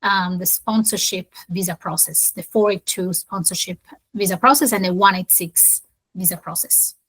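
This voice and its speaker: A woman's voice: fairly low pitch, moderate pace, fairly bright tone, slightly rough. Woman co-hosting a webinar. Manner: informative, adds announcements with hesitations. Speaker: webinar co-host. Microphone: video call.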